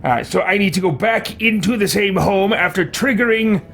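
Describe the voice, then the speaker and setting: A man's voice: high voice, normal speed, creaky, fairly bright tone. A man streaming a video game, musing and reading a guide aloud in a theatrical let's play style. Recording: game music behind the voice. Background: video game music.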